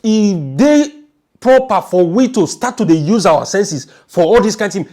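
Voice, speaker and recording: Animated high-voiced Nigerian man. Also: unhurried. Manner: animated, emphatic, repeats phrases. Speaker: online news commentator. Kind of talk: informal storytelling commentary.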